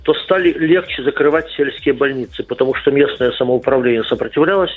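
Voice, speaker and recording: A middle-aged man, a guest on a radio talk show, explaining at a measured pace with frequent pauses and fillers. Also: mid-range voice. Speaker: guest lawmaker. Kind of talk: radio interview answers. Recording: tinny phone-like radio line.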